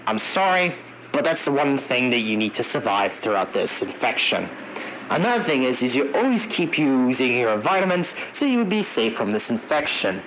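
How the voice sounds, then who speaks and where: A man narrating an analog horror emergency briefing in a halting, wordy way, searching for his words. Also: mid-range voice, big pitch swings, a bit bright, a little hiss or hum, poor audio.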